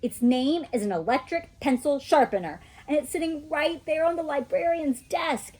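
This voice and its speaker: A woman reading a children's storybook as an excitable, mischievous little girl who chats emphatically about her own adventures. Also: high-pitched, moderate pace, voice pushed up, natural voice, rough recording. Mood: excited. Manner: childlike, emphatic, chatty storytelling with kid grammar. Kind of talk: children's storybook read-aloud.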